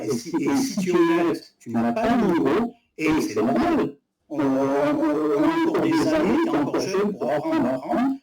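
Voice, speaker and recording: Blunt critical man, far and echoey. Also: sits high. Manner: blunt, critical, hesitant restarts. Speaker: guest in a live debate. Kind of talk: live stream debate. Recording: echoey room, far from mic, loud crowd noise.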